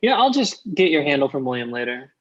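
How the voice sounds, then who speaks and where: A man's voice: mid-range voice, very animated, quick pace, lo-fi sound. An actor reading the part of Nathan, a meek, awkward young man, in a hesitant, mild way at a comedy table read.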